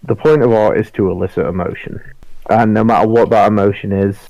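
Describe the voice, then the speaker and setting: A man's voice: on the low side, steady tone, a little fast, creaky, warm tone, no room sound, lo-fi sound. A man bantering casually and bluntly as a panelist on a podcast.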